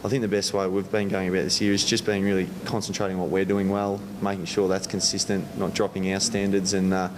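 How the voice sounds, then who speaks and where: A man's voice: monotone, fast talker, hardly any pauses. An Australian man, a football coach, giving conversational interview answers. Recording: reverberant room with faint rumbling noise.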